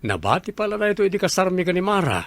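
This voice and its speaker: A man's voice: high voice, big pitch swings, racing, fairly bright tone, no echo, exaggerated voice. A man with an Ilocano Filipino accent playing a friendly, inviting uncle in an audio drama.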